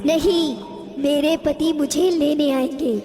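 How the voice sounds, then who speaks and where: A woman playing a stern, dutiful witch ghost who scolds lazy housewives in an animated moral story. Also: high voice, slow. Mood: indignant. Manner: admonishing, righteous. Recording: long hall reverb, music behind.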